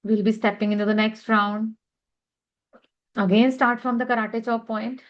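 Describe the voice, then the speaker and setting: Woman, unhurried affirmations, slightly high voice, dull lo-fi sound. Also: little pitch movement. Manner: slow, measured, repeating affirmations. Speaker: EFT tapping guide. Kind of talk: guided tapping affirmations.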